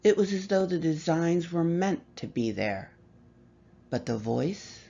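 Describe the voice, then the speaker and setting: A woman reading a horror story aloud with measured, expressive delivery and dramatic pauses. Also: fairly low pitch, creaky, muffled recording. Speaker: story reader.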